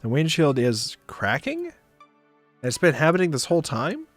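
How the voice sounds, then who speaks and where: Man acting an expressive, sentimental space traveller. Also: a little high, normal speed, dry recording, faint music behind, pitched above the performer's own voice. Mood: grateful, concerned. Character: groggy male space traveller, sentimental, devoted, gentle.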